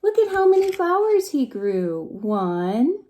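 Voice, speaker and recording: A woman reading a picture book aloud to young children in an expressive, gentle way, pausing to ask them questions. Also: high voice. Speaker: preschool story time reader.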